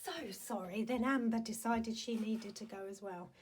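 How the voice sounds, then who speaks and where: A woman with a croaky, hoarse voice giving a chatty, friendly craft-along tutorial at home, with lots of ums. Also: on the high side, normal speed, a little hiss or hum. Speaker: paper craft demonstrator and product seller.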